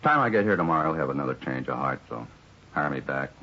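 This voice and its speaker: Weary, low, husky-voiced American barman. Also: animated, fast, sharp tone, full character voice. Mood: wry, resigned. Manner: rambling, plainspoken, resigned. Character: weary, put-upon male bar employee, grumbling witness. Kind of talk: 1950s radio drama acting. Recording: muffled 1950s radio recording.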